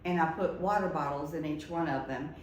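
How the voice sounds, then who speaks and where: A woman in her seventies, a retired teacher, telling lively stories about life in a senior community. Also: sits low, brisk, a little hiss or hum. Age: 70s. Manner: lively anecdotal storyteller. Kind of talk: casual storytelling. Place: room in a senior living community. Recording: echoey room.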